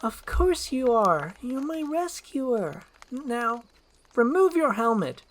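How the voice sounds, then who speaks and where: A woman's voice: fairly high pitch, big pitch swings, slow pace, pitched above the performer's own voice. A woman voicing a haughty young princess with formal, courtly phrasing in an amateur screenplay reading. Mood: insistent. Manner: imperious, archaic courtly phrasing.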